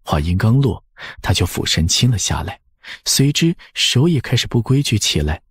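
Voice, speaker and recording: Male audiobook narrator, very raspy low register, lively intonation. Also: moderate pace, dry recording, studio quality. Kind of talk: scripted novel narration.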